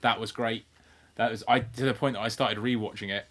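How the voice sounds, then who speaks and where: A man's voice: on the low side, steady tone, a little fast, fairly bright tone. A British man co-hosting a podcast in casual chat, speaking in a laid-back, sweary way with lots of "like". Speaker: podcast co-host. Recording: internet call recording.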